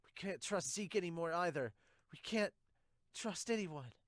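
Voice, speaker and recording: A frightened, agitated manga kid, acted out by a man, speaking urgently in a raised, strained pitch. The dub is recorded dry and close. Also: animated, normal speed, fairly bright tone, no room sound. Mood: panicked, distrustful. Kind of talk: amateur manga dub reading.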